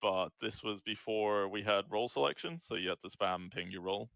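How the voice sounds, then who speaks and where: A man's voice: lowish voice, brisk, gravelly, a bit bright, dry recording. A Scottish man in his twenties, answering interview questions in a laid-back, hesitant way. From Scotland. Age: 20s. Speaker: guest, esports player. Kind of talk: casual interview.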